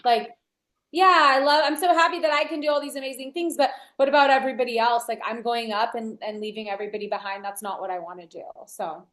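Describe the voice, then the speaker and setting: Upbeat young woman guest, portuguese was her first language, says "like" a lot, fairly high voice. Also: fairly even intonation, fast, fairly bright tone, echoey room. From United States, Brazilian family. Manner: upbeat, chatty, many fillers like "like". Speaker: guest, DJ and entrepreneur. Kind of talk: casual interview chat.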